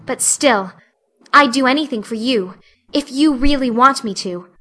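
A woman voices a talkative young girl in a storybook. The voice is fairly high and clear-edged bright, earnest and dramatic, with a plaintive solemnity. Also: a little slow. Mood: contrite, earnest. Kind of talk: audiobook character acting.

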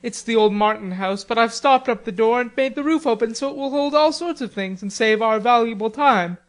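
A woman's voice: fairly high pitch, fairly quick, pitched above the performer's own voice, close to an everyday voice. A woman reading a charming teenage boy in a storybook, mock-humble, with an ornate, engaging manner. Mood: grandly formal.

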